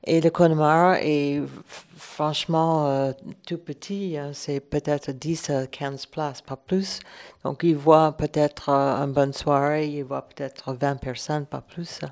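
A woman's voice: deep voice, fairly even intonation, slow, a little mellow. A woman from Ireland, speaking French as an as a radio guest, hesitant and searching for words. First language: English. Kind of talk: relaxed radio chat.

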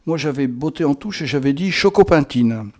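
A man's voice: on the high side, lively intonation, normal speed, darkish tone. A man hosting a radio show in a chatty, improvised interview style, playful and teasing, with rambling, theatrical, flowery turns of speech. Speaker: radio host.

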